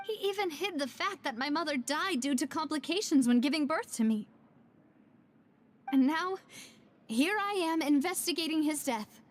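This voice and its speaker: A woman's voice: high voice, lively intonation, normal speed. A woman voicing an earnest, determined young noblewoman in a video game, speaking in a formal, wistful way.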